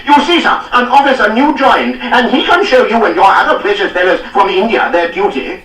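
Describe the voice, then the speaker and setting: A man's voice: sits high, a little fast, reverberant, far from the mic, pitched above the performer's own voice, low-quality recording. A male narrator voicing a furious, blustering aristocratic cavalry lord who bawls, sneers and bursts into braying laughs. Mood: sneering. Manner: shouting, sneering, hectoring. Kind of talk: audiobook character voice.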